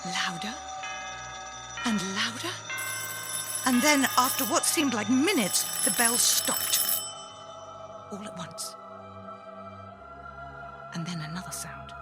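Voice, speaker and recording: A woman narrating a children's story with theatrical, deliberate, suspenseful phrasing. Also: medium pitch, expressive, over loud music.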